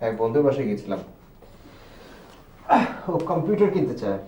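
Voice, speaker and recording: An actor playing a quietly stubborn, generous younger brother in a television drama. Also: male voice, mid-range voice, big pitch swings, moderate pace, slight background noise, natural voice. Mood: stubborn, subdued. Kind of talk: TV drama acting. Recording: distant mic, echoey room.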